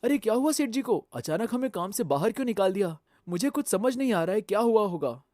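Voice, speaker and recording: A woman voicing a hardworking male sparrow husband in a cartoon who talks in a hurried way. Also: mid-range voice, animated, dark tone, no room sound, clean studio sound, lightly acted. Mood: puzzled.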